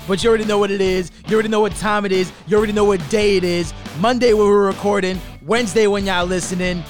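A man's voice: high-pitched, quick pace, soft background music. Hyped New man hosting a podcast. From the Bronx, New York. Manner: hype, slangy, animated emcee delivery. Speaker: podcast host. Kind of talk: casual podcast banter.

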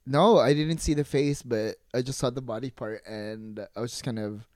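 Giggly podcast cohost, excitable n gossipy, dishing a personal story, really dry close audio. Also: mid-range voice, normal speed, no echo. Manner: gossipy, slangy storytelling with quoted dialogue. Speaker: podcast co-host. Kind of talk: casual chat, telling a personal anecdote.

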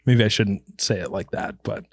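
A man's voice: on the low side, moderate pace, husky, dry recording. A man co-hosting a podcast who is congested and nasal from allergies, chatting loosely and laughing often. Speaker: podcast co-host. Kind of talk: casual podcast chat.

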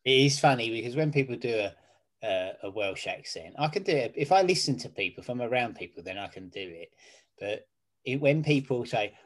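Relaxed male podcast co-host, mid-pitched, dry humour, beer chat. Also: fairly quick. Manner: laid-back, wry, swears casually. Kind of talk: casual chat reviewing beer.

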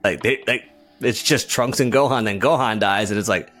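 A man's voice: lowish voice, normal speed, fairly bright tone. A young man chatting casually as a podcast host, retelling things in slangy speech with acted-out quotes. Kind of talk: casual fan chat.

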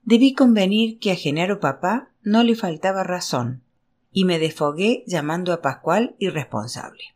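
A woman reading literary fiction aloud as scripted narration, in an expressive storytelling manner. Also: fairly low pitch, normal speed, a little hiss or hum. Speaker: audiobook reader.

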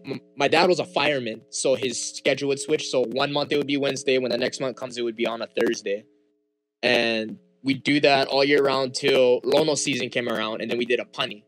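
A young man from Hawaii with a Hawaiian accent, whose first language is Hawaiian, talking as a guest in a casual interview with relaxed fillers and restarts. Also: medium pitch, poor audio.

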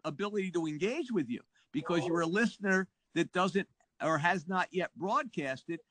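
High-voiced enthusiastic male podcast host. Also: moderate pace, fairly bright tone, slight background noise. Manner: enthusiastic, praising. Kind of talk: casual interview chat.

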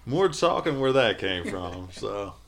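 A man's voice: fairly low pitch, sing-song, unhurried, vocal fry, a bit bright. A man co-hosting a podcast in casual chat, joking in an easygoing way. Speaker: podcast co-host.

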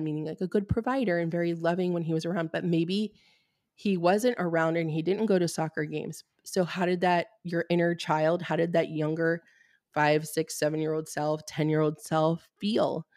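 A woman with a notably low, deep speaking voice, recorded close and dry. She talks as a Reiki fertility healer in a podcast interview and explains things steadily, plainly and bluntly, with many "um"s along the way. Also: normal speed, no room sound. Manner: steady, explanatory, blunt at times, with frequent fillers.

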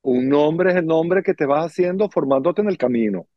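A middle-aged man with a Venezuelan accent, telling stories as an interview guest in an animated, theatrical way and acting out bits of dialogue. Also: a little high, normal speed, no echo, muffled recording. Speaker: guest, circus performer and dancer. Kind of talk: conversational storytelling in an interview.

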